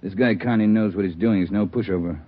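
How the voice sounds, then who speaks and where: An actor playing a grave, no-nonsense chief of detectives in a 1940s radio drama, speaking bluntly and urgently. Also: male voice, on the low side, quick pace, close to an everyday voice. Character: grave, no-nonsense male chief of detectives briefing his men. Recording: tinny, phone-like old radio recording.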